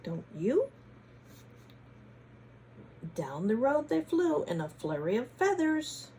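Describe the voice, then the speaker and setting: A woman reading a storybook to children in an expressive, teacherly way, adding chatty asides and questions. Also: sits high, slow, a little hiss or hum. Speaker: children's read-aloud host, former teacher.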